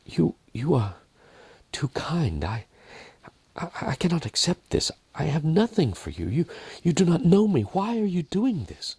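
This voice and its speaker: A humble young mother from a storybook, voiced by a male narrator. The voice is lifted and halting, stumbling over words with emotion. She sounds overwhelmed, in a dry, close recording. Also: fairly high pitch, huge pitch swings, a little mellow, husky, no echo, pitched above the performer's own voice, exaggerated voice. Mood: tearful disbelief.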